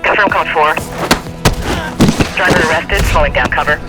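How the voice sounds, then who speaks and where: A man playing a brisk, official police dispatcher on the radio in a racing video game. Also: fairly high pitch, low-quality recording. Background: loud music.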